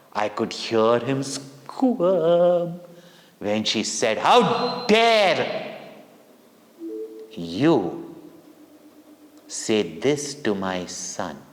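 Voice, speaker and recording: A man with an Indian accent telling devotional stories to children in a deliberate, theatrical way with dramatic pauses and emphasis. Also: mid-range voice, fairly bright tone. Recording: long echoing hall reverb.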